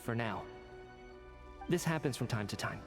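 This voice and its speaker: A man playing a composed, fatalistic survivor leader in a video game, speaking in a grave, formal, measured way. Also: mid-range voice, expressive, vocal fry. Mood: grave, resigned. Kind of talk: scripted game voice acting. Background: music.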